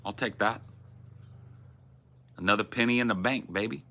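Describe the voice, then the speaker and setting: Low-voiced, profane male livestream host. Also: normal speed, pushed and bright. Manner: rambling, profane, restarts and self-corrections. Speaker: streamer host. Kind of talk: casual livestream chat reading viewer messages.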